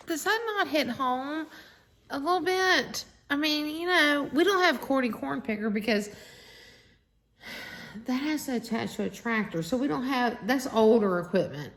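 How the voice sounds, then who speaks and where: A woman's voice: high voice, expressive, normal speed, fairly bright tone. A woman chatting casually as a co-host. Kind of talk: casual chat.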